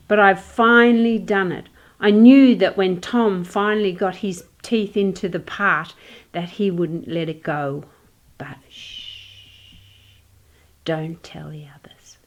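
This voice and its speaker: Scheming, commanding veteran actress woman performer, mid-pitched, unhurried delivery, comedy drama, roomy echo. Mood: gloating, then conspiratorial whisper. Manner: deliberate, self-satisfied, commanding. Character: Audrey, scheming, theatrical, bossy veteran radio actress. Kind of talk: amateur-style comedy drama dialogue. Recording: echoey room.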